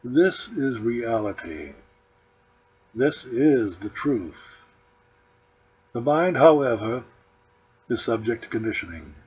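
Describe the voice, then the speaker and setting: Slow, solemn man reading aloud. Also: mid-range voice, big pitch swings, darkish tone. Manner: deliberate, solemn, measured phrasing with pauses. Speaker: author reading his own book. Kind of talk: scripted audiobook narration of a spiritual book. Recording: dull lo-fi recording with background noise.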